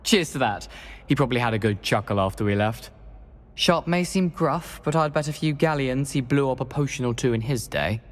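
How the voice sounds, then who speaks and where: A man's voice: mid-range voice, fairly quick, close to an everyday voice. A man acting an eager, mischievous teenage wizard in a video game, with a British accent and chummy, persuasive manner. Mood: cheerful, chummy.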